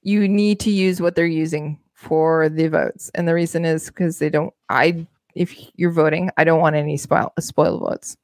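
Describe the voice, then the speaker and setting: A Canadian woman with a notably low voice co-hosts a casual podcast panel. She speaks bluntly and emphatically in everyday language, and the sound is close and dry. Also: fairly even intonation, normal speed, hardly any pauses, darkish tone, no room sound. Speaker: podcast co-host.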